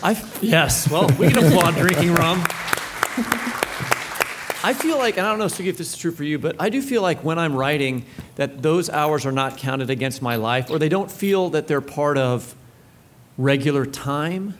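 Male co-host, slightly high voice, casual and rambling, faint audience behind him. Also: normal speed, a crowd in the background. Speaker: podcast co-host. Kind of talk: casual live literary chat. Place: live on stage at a book fair. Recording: live event with audience noise.

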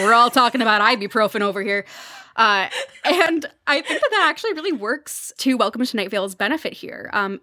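A woman's voice: fairly high pitch, pushed and bright, dry recording, studio quality. A woman speaking as an enthusiastic, informal podcast guest in a casual chat about fandom culture.

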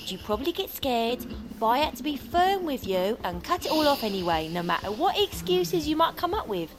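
Bossy, over-familiar hairdresser, British, audio comedy drama. Very high, lively delivery. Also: female voice, background noise. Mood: firm, brisk. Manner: gushing, familiar, brisk. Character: chatty, bossy, gushing female hairdresser.

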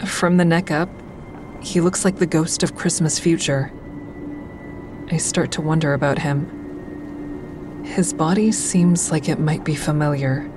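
A woman acting in an audio drama as a calm, wry crisis-call listener who narrates her own thoughts in a measured, coaxing, frank way. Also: deep voice, over music. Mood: wry, watchful. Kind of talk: full-cast audio drama, first-person narration and dialogue.

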